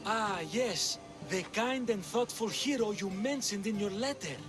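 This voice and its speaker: An actor playing a courteous, formal ally in ancient Greece in a video game. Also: male voice, high-pitched, unhurried. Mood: welcoming. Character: courteous male friend and helper in ancient Greece. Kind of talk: dramatic video game acting. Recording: loud music behind the voice.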